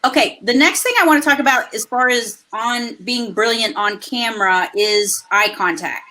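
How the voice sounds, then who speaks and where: A woman hosting a live webinar over a video call, coaching viewers in an energetic, chatty way with a loud voice that carries. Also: on the high side, normal speed, poor audio. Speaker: host.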